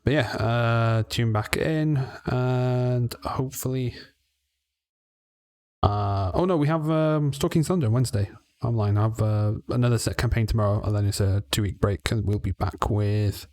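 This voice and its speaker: A man's voice: mid-range voice, darkish tone, dry recording. A man from Wales hosting a tabletop game stream, chatting casually and signing off the broadcast. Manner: chatty, thinks aloud while wrapping up. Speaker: stream host and player. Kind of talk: casual chat and stream sign-off.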